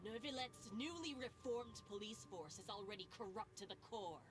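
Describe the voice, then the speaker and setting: A woman's voice: on the high side, lively intonation, moderate pace, close to an everyday voice. An actress voicing a suspicious, scornful townswoman in a video game, sneering and accusatory. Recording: music under the voice.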